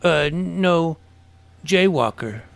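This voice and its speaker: A man's voice: a little high, expressive, extremely slow, exaggerated voice. An actor playing a wry twelve-year-old boy detective who narrates his own adventure in an audio drama. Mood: startled, nervy. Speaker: narrator and lead. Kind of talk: scripted first-person story narration. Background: clear music.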